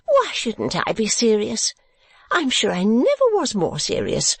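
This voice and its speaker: A woman's voice: a little high, wildly swooping pitch, measured pace, creaky, slightly rough, no room sound, voice pushed up, heavily acted. A woman voicing a garrulous, scatterbrained middle-aged mother in a classic novel, emphatic and easily indignant. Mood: gushing. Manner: gushing, emphatic, exclamatory. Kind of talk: dramatised classic novel reading.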